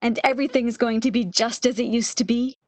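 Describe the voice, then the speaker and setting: A woman's voice: fairly high pitch, fairly even intonation, a little fast, no echo, close to an everyday voice. A woman playing a frail, fearful, lovesick woman, pleading emotionally in an amateur radio drama. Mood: hopeful longing.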